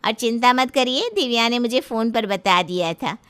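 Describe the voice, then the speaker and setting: Woman as cartoon middle-aged mother, flustered hostess, high bright voice, scolding. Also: lively intonation, normal speed, clean tone. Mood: flustered, placating.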